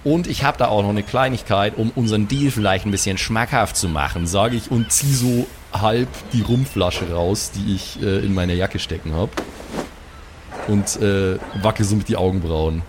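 A man improvising as an old sailor in a tabletop game, gruff and chummy, sometimes adding what his character does. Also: fairly low pitch, normal speed, background noise. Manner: gruff, chummy, sailor talk with stage directions. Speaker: player voicing an old sailor.

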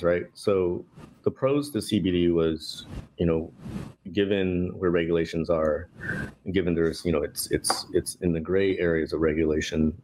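A man's voice: low voice, darkish tone, slight background noise, rough recording. A man in his thirties, born in Vietnam, talking casually as an interview guest, with many fillers and restarts. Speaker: guest, tea company co-founder.

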